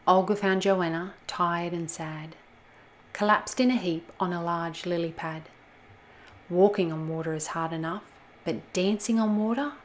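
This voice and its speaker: A woman reading a children's storybook aloud as host, in an expressive style. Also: fairly low pitch, a little slow. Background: soft music.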